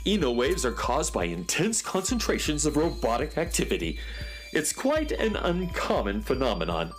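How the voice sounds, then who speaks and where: A man's voice: medium pitch, wildly swooping pitch, normal speed, faint music behind. A man playing a fussy, earnest, eccentric handyman inventor in a children's radio serial, speaking precisely and theatrically. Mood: fascinated.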